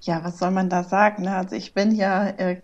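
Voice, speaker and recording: A woman's voice: mid-range voice, level tone, a little fast, darkish tone, muffled recording. Enthusiastic woman. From Flensburg, northern Germany. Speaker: guest. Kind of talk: casual podcast chat.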